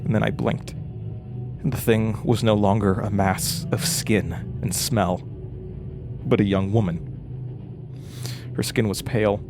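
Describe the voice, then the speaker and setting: A man playing a haunted narrator in a horror audio drama, stammering and repeating his words as he recounts his story. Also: fairly low pitch. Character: haunted, panicky young male radio-show narrator recounting horrors.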